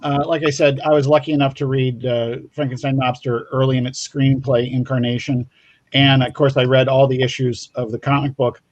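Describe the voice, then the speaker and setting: Measured mid-pitched male co-host. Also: flat delivery, a little mellow, lo-fi sound. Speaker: show co-host. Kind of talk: casual endorsement chat.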